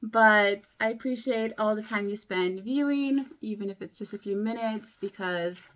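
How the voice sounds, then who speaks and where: A young woman vlogging casually to camera, chatty and rambling, her voice a bit hoarse from a cold. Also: medium pitch, normal speed, fairly bright tone, rough recording. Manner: chatty, rambling, many likes and ums. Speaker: beauty vlogger.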